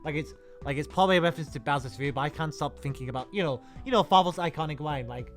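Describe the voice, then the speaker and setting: Excitable guy talking games, voice on the high side, trips over words, faint music behind. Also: racing. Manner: excitable, stammering repeats, jokey. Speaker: co-host of a video game discussion show. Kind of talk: casual chat about games and films.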